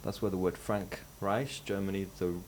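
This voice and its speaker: A man from France holds forth in a casual podcast chat, animated and loose-tongued. His voice sits a bit low for a man. A faint hiss of room ambience lies underneath. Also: moderate pace. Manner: animated, chatty, swears casually. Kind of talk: casual group conversation.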